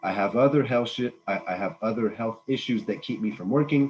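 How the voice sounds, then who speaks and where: A man moderating a webinar, quickly reading audience questions aloud over a video call. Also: mid-range voice, a little mellow, slight background noise, low-quality recording.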